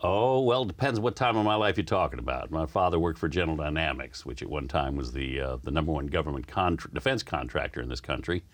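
A very deep-voiced man with a slight Southern American lilt, chatting easily as a guest. He talks in a relaxed, rambling way, drifting from one memory to the next. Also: fast, hardly any pauses. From United States. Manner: easygoing, rambling storyteller. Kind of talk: relaxed conversational interview.

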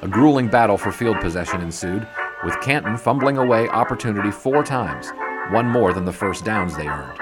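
Man as low-voiced 1920s sports writer over music. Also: lively intonation, natural voice. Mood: narrating, wry. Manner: literary, measured storytelling. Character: 1920s sports writer recounting games gone by. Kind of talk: scripted narration in audio drama. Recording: loud music behind voice.

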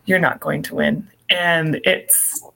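A woman with a slight Minnesotan accent, an appellate attorney answering interview questions in an earnest, measured way, with many "you know" fillers. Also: low-pitched, fairly even intonation, creaky, pushed and bright, a little hiss or hum, rough recording.

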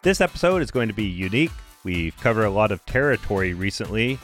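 A man in his thirties or forties hosting a podcast, slightly hoarse and raspy, talking briskly and conversationally with a light dry humor. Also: lowish voice, expressive, a bit bright, faint music behind. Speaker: podcast host. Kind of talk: scripted podcast explainer.

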